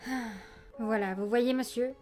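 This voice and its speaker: A woman's voice: on the high side, fairly even intonation, a little slow, a little mellow, soft background music, lightly acted. A woman voicing a polite, anxious male demon hitman's underling, deferential but exasperated, in an amateur cartoon fan dub. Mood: reasoning. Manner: deferential, earnest, fussy, exasperated.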